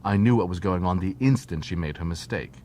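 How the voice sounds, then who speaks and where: A man's voice: fairly quick, darkish tone, lightly acted. A man voicing an eager young doctor who lectures pedantically and with animation in an audiobook. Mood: confiding. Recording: noisy track with low rumble.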